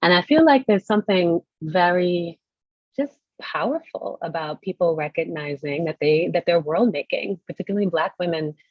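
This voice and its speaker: Deep-voiced woman, reflective panel talk. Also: normal speed, dry recording. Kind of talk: reflective literary panel discussion. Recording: dull lo-fi audio.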